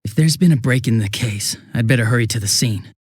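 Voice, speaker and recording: A man's voice: medium pitch, fairly quick, slightly rough, no room sound, clean studio sound, close to an everyday voice. A man voicing a goofy, chatty, hapless young police detective in a video game, with a casual, flustered, rambling manner. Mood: hesitant, thoughtful. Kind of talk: English video game voice acting.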